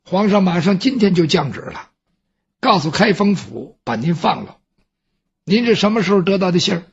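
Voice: medium pitch, animated, gravelly, slightly rough, muffled recording, pitched below the performer's own voice, heavily acted. A storyteller playing a chatty palace servant. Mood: explaining. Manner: brisk, coaxing, plain-spoken. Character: palace servant consoling his superior, chatty.

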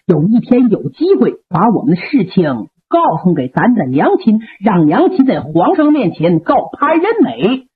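A woman doing a calm, steady elder brother warrior. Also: medium pitch, slightly rough, exaggerated voice. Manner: calm, reassuring, measured. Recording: tinny phone-like radio recording.

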